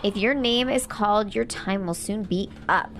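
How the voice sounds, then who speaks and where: A woman's voice: mid-range voice, moderate pace, a bit bright, slight background noise. A woman playing a tabletop game, chatting casually and reading aloud as one of the players.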